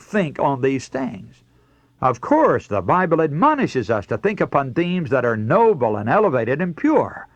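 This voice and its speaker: Guy preaching on the radio, earnest and rhetorical, really animated pitch, dry studio sound. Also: no room sound. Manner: earnest, rhetorical, emphatic oratory. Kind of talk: radio sermon, scripted exposition with direct address to listeners.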